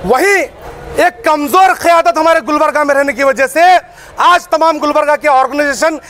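A man delivers a fiery public statement in a very high, raised voice. He is emphatic and declamatory, like an activist addressing the press. He is recorded outdoors on a street, with faint noise around him. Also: moderate pace, pushed and bright. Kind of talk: impassioned public statement to news camera. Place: busy open street outside shopfronts.

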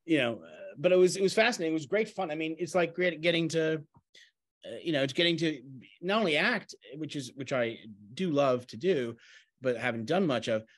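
A man's voice: a little high, expressive, fairly quick, no echo. Self-deprecating middle-aged man, dry close sound. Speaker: playwright and actor. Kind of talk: casual talkback reflection. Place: home room on a video call. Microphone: video call microphone.

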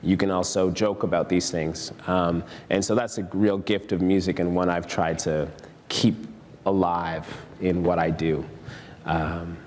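A young man, a musician, speaking on a discussion panel in a relaxed, reflective way with frequent ums. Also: sits low, measured pace, big-room sound, a little hiss or hum. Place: TV-style studio set.

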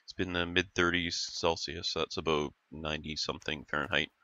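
A man's voice: rumbling low, level tone, a little fast, pushed and bright, no echo, highs cut off. A man hosting a gaming channel, casually narrating his gameplay while stuffed up and growly, thinking aloud and correcting himself as he goes. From Canada. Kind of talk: casual let's-play commentary.

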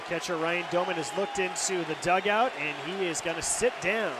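A man's voice: high-pitched, brisk. An American man calling live baseball play-by-play on radio in a clipped, descriptive way, reciting stats. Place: stadium broadcast booth. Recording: loud stadium crowd noise. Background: ballpark crowd and stadium ambience.

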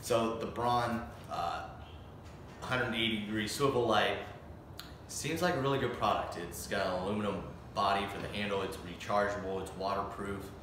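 A man's voice: fairly low pitch, steady tone, normal speed, background noise. A young man in his twenties giving a casual, opinionated tool review, with lots of ums and restarts, filmed standing in a small empty room of a house. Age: 20s. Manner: chatty, opinionated, many "um"s and restarts. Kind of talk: casual product review vlog. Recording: echoey bare room.

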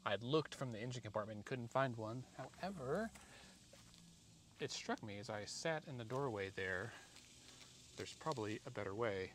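American guy in his 40s, rambly diy vlog talk, medium pitch, noisy camera audio. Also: expressive, moderate pace, pauses often. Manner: self-deprecating, rambling, conversational. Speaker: vlogger doing a product installation. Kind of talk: casual hands-on how-to vlog. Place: outdoors in a driveway and inside a parked car. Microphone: camera or phone mic, arm's length to a few feet. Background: clear ambient noise.

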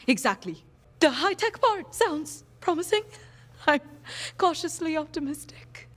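A woman playing a skeptical, anxious young scientist in a video game, with a husky voice and a dry, hesitant, exasperated manner. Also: high-pitched, lively intonation, a little slow, fairly bright tone. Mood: wary.

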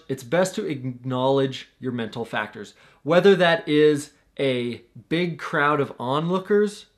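A young man hosting a tutorial vlog, talking straight to the camera in an earnest, coaching way from a small room at home. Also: fairly high pitch. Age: young adult. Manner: earnest, coaching, gestures with his hands. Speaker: paragliding instructor and channel host.

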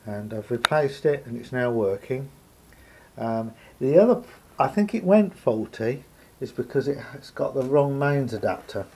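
A man with a gravelly, husky voice gives an unscripted hands-on demonstration, thinking aloud hesitantly as he explains. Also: medium pitch, animated, measured pace, darkish tone, a little hiss or hum. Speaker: hobbyist radio repairer. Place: indoor room at a table.

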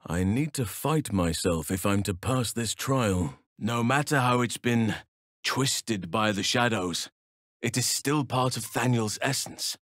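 An actor playing a wise, gentle and steadfast elf druid in a fantasy video game, speaking in measured, formal phrases. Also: male voice, mid-range voice, animated, no echo, clean studio sound. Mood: earnest, measured. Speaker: video game companion character. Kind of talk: acted fantasy game dialogue.

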